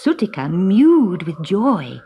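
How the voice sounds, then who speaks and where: A woman reading a scripted children's story in an expressive, dramatic way with measured phrasing. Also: mid-range voice, slight background noise.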